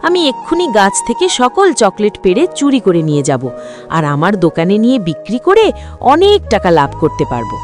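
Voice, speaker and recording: A woman's voice: mid-range voice, huge pitch swings, normal speed, over music. A woman voicing a greedy, jealous fox mother who runs a shop in a cartoon, with a scolding manner. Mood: greedy, scheming. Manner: scolding, scornful. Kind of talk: cartoon voice acting.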